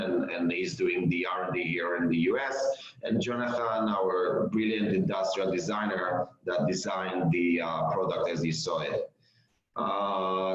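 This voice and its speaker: A man with an Israeli accent, a startup founder pitching to investors, hesitant with many fillers and restarts. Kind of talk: investor pitch over a call. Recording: echoey, dull lo-fi audio.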